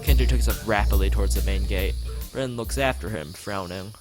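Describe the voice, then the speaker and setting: A man's voice: lowish voice, a little fast, over music. A man reading a visual novel aloud as a let's player, adding commentary and often stumbling and rereading lines.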